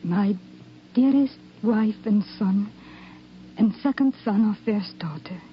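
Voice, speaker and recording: A woman's voice: medium pitch, close to an everyday voice. An actress playing a refined, wistful young soldier's wife and mother, composed and formal in 1950s radio drama style. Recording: dull, noisy 1950s radio recording.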